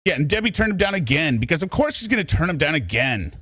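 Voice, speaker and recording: A man's voice: on the high side, big pitch swings, fast, fairly bright tone, breathy edge, dry recording, clean studio sound. A man giving casual reaction commentary in an exasperated, mocking way, often paraphrasing other people's words. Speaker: true crime commentator. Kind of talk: casual reaction commentary over a played phone call.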